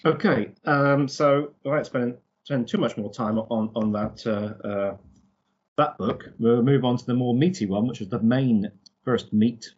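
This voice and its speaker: A man co-hosting a podcast, discussing books casually and haltingly, repeating words as he thinks. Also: mid-range voice, darkish tone, husky, highs cut off. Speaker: podcast co-host.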